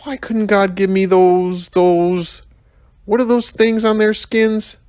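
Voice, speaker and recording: A man voicing a grumpy, self-pitying big rhino in a storybook, muttering and halting as he searches for words. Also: sits high, fairly even intonation, a little mellow, clear, resonant tone, dry recording, clean studio sound, voice pushed up. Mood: whiny, searching.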